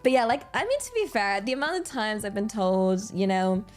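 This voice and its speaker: A young British woman streamer from England, chatting casually and thoughtfully with many pauses and fillers. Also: medium pitch, expressive, soft background music. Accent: British English. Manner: reflective, hesitant, many fillers and restarts. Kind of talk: casual chat with live chat readers.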